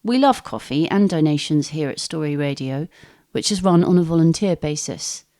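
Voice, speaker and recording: A woman's voice: low voice, lively intonation, normal speed, warm tone. A woman presenter reading a scripted announcement for a story programme. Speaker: podcast presenter.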